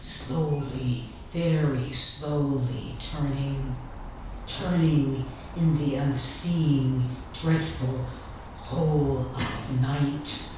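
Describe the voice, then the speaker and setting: A woman giving a dramatic literary reading of her own writing, theatrical and deliberate, with long pauses between phrases. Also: very deep voice, fairly even intonation, a little mellow. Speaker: author reading from own memoir. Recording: echoey room, distant mic, faint background noise.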